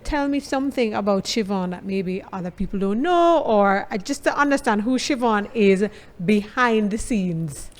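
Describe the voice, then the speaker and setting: A woman's voice: mid-range voice, expressive, normal speed, hardly any pauses. Polite woman hosting an interview. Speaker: interview host.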